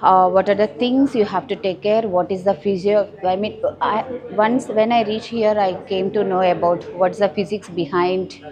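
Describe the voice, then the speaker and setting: A woman's voice: medium pitch, steady tone, moderate pace. A woman obstetrician from India giving a rambling, self-correcting testimonial to camera on a lapel mic. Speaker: obstetrician and gynecologist. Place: busy surgical training hall. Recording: dull audio, crowd chatter behind.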